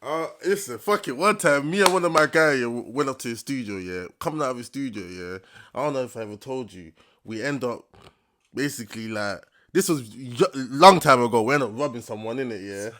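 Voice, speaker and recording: A man's voice: mid-range voice, brisk, a crowd in the background. A young man hosting his first interview, talking in hype slang and often asking "you understand". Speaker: interviewer and channel host.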